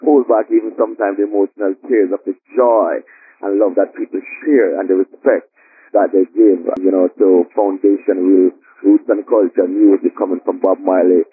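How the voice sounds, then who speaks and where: Jamaican guy, low husky voice, rambling and humble, sounds like a phone line. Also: steady tone, normal speed, dry recording. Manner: warm, humble, rambling with many fillers. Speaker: guest drummer. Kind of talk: casual interview chat. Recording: tinny phone-line audio.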